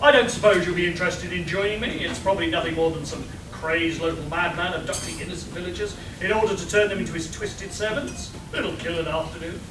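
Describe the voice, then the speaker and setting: A man playing a pompous, eager gentleman adventurer who declaims grandly in a live amateur radio play. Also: high voice, steady tone, fast talker, hardly any pauses, lightly acted. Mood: breezy, inviting. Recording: echoey, noisy live hall, distant mic.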